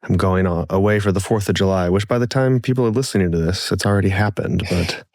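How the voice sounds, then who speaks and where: Deep-voiced, slightly hoarse man. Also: fairly even intonation, quick pace, darkish tone, dry recording, studio quality. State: slightly hoarse, getting sick. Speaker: producer and co-host. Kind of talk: casual chat.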